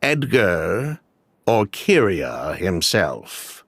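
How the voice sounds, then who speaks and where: A man playing a smooth-talking former swindler in an anime video game, glib, evasive and theatrical. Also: low voice, wildly swooping pitch, drawn-out words, fairly bright tone, no echo, studio quality, exaggerated voice. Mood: dismissive.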